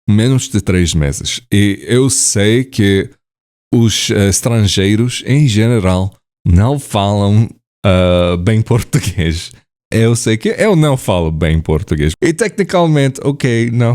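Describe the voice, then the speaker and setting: A man's voice: on the low side, darkish tone. A man vlogging in a language he is still learning, hesitant and full of fillers and self-corrections, often laughing at himself. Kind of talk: casual to-camera talk in a learned language.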